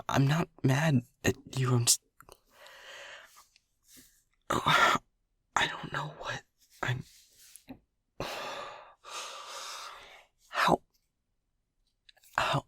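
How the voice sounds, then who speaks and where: A man playing an anxious, protective young lab technician who stammers and keeps his voice to a hushed whisper. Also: mid-range voice, breathy edge, dry recording, full character voice. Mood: frightened whisper. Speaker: audio roleplay voice actor. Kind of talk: audio roleplay acting.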